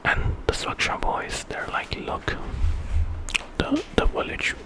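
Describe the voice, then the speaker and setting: A man telling a story in whispered ASMR style, retelling it informally with colloquial phrasing and small self-corrections. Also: measured pace.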